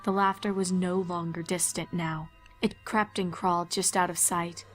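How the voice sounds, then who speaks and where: A woman narrates a scary story in a fairly low voice. Her delivery is dramatic and scripted, kept within an even, narrow range. Faint music sits behind her. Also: moderate pace.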